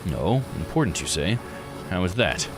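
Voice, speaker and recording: A man's voice: sits low, big pitch swings, normal speed, over music, pitched below the performer's own voice. A man voicing a curious, theatrical male adventurer in visual novel dialogue, musing and grandly polite. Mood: intrigued, musing. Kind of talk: visual novel character read-aloud.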